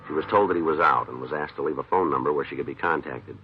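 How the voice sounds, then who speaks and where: An actor playing a terse, businesslike police detective in a 1950s radio drama, clipped and deadpan as he questions and narrates. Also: rumbling low, quick pace, over music. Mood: matter-of-fact. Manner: clipped, deadpan, matter-of-fact. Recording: dull old-time radio recording.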